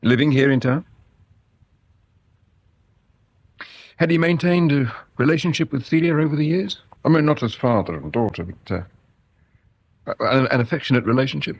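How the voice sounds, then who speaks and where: An actor with an English accent playing a polite, quietly persistent police inspector in a radio crime drama, speaking with deliberate, courteous hesitation. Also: male voice, mid-range voice, lively intonation, breathy edge, dry recording. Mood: quietly probing.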